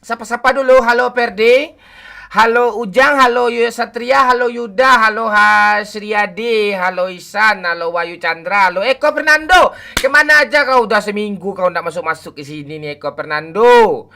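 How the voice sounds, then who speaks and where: A man's voice: sits high, moderate pace, gravelly, pushed and bright. A man in his forties on a game livestream, greeting viewers by name and joking with the chat. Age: 40s. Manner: joking, teasing banter with chat. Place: home room at night.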